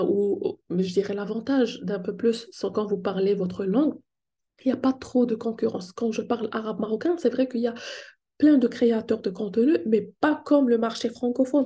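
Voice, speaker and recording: A woman's voice: fairly high pitch, mellow. A Moroccan woman whose first language is Moroccan Arabic, speaking with a Moroccan French accent as she explains business tips and poses questions to her viewers. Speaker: online business coach. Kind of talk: teaching tips to the camera.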